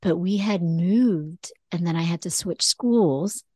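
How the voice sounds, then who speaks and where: A woman's voice: on the low side, slow, darkish tone, no echo, clean studio sound. A woman hosting a podcast, chatting in a casual interview and laughing easily.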